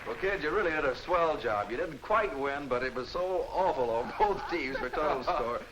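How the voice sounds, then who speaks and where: A man's voice: fairly high pitch, animated, moderate pace, background noise. An American man hosting a game show with informal, teasing banter, recorded on a 1950s television soundtrack. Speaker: quiz show host. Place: television studio.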